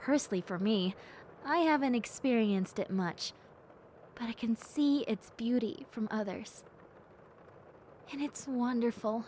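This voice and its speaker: Woman playing a slow, sweet, high-voiced bat girl. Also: darkish tone, a little hiss or hum, natural voice, rough recording. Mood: wistful, dreamy. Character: gentle, cheerful young bat girl, recovering from injury. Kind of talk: audio roleplay addressed to the listener.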